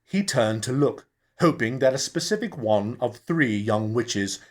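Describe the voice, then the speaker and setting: A man reading a novel aloud as narrator, in an expressive, theatrical storytelling style. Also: mid-range voice, a little slow. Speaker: audiobook narrator, actor. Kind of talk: dramatic scripted novel narration.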